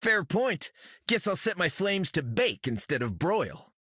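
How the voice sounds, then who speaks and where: Cocky, animated young hotshot. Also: male voice, sits high, unhurried, breathy edge, no echo, studio quality, heavily acted. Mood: breezy, teasing. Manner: breezy, teasing, slangy. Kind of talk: video game voice acting.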